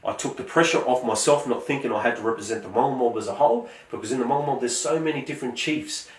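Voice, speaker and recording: A man, giving a prepared, earnest speech in a measured, emphatic way. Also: medium pitch, roomy sound, recorded from a distance, rough recording. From Australia. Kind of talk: prepared motivational speech with scripture quotes.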